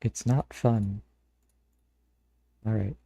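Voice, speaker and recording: A man's voice: lowish voice, steady tone, extremely slow, creaky, mellow, no echo. A man streaming live, chatting casually and thinking aloud in a rambling way into a close mic. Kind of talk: casual live-stream chat while browsing games.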